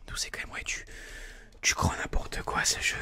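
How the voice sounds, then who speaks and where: A person whispering informal, hesitant gameplay commentary in an ASMR style, thinking aloud close to a binaural microphone. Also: normal speed. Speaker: video game streamer, ASMR host.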